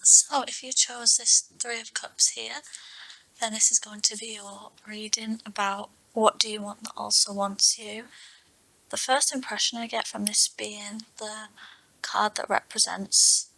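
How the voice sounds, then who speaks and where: A woman reads tarot for online viewers, explaining each card in a reflective, unscripted way. Her pitch stays fairly even and sits in the middle range for a woman. The tone is bright, with a noticeable crackle of fry. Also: measured pace. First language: English. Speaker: tarot reader, online host.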